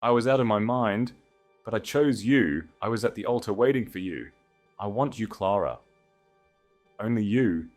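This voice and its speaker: A man's voice: on the low side, normal speed, long pauses, a little mellow, faint music behind, voice pushed up, lightly acted. A man voicing a weak, self-pitying male aristocrat in an audiobook, pleading and overwrought. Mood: desperate pleading.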